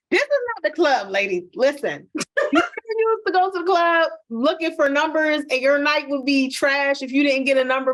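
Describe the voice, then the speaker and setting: Podcast co-host, middle-aged woman, bright higher voice, emphatic and direct with listeners. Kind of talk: casual chat, storytelling.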